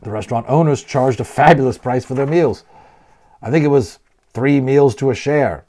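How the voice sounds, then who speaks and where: A man reading a children's novel aloud as a storyteller, expressively and with deliberate emphasis on key words. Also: medium pitch, mellow. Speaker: audiobook narrator and storyteller.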